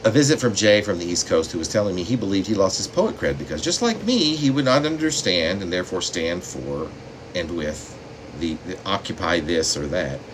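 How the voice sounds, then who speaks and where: Very deep-voiced man reading poetry. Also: fairly quick. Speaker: poet reading own work. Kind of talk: poetry reading with spoken asides. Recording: steady room noise, air conditioning hum.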